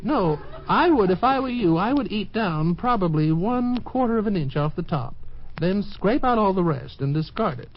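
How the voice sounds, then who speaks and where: Prim, affectionate homemaking lady, played by a man, raised pitch, genteel instruction, muffled vintage radio comedy. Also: animated, normal speed. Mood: fussy, affectionate. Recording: muffled, noisy 1950s radio broadcast.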